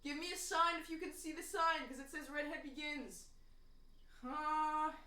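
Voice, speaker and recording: A woman's voice: high voice, normal speed, background noise. A woman in her twenties chatting on a livestream from a home room into a desk condenser mic. She answers viewer chat casually and laughs often. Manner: giggly, laughs often, jokey and animated. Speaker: streamer. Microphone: USB condenser desk mic.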